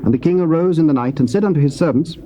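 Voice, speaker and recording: A man narrating scripture in a measured, solemn reading. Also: on the high side, dark tone, slight background noise.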